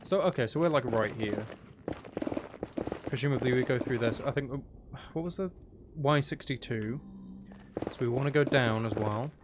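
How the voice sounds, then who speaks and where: A man's voice: mid-range voice, normal speed, background noise. A man giving casual gameplay commentary, muttering and thinking aloud to himself. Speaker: gaming video host.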